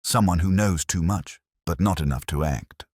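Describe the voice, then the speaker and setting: A man's voice: sits low, normal speed, darkish tone, breathy edge, dry recording, clean studio sound. A man voicing an earnest, methodical police sergeant in a mystery story, questioning and matter-of-fact. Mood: speculating.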